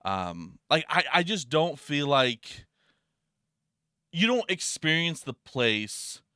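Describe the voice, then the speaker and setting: A young man rambles through a casual podcast chat, full of fillers, restarts and casual swearing. His voice is bright and clear. The sound is dry and close. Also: a little high, sing-song, slow pace, dry recording. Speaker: podcast co-host.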